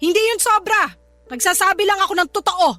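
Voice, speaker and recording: A woman acting in a radio drama as a scornful, nagging older mother-in-law with a sharp, sarcastic, scolding manner. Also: very high-pitched, brisk, dry recording, soft background music. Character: scornful, nagging older mother-in-law who belittles her daughter's partner.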